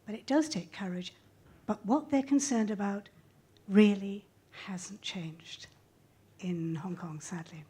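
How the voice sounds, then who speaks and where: A woman's voice: medium pitch, slow pace, dark tone, slightly rough. A woman speaking on a discussion panel as a hotline volunteer, explaining earnestly and reflectively.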